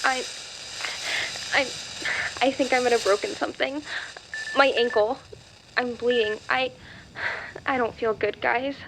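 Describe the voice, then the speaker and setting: A young American woman livestreaming in an audio drama. Her voice is fairly high and her delivery slow. It is a noisy outdoor recording with insects chirping around her. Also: fairly even intonation. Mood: panicked, hurt. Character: young woman livestreaming, flippant, then terrified of aliens.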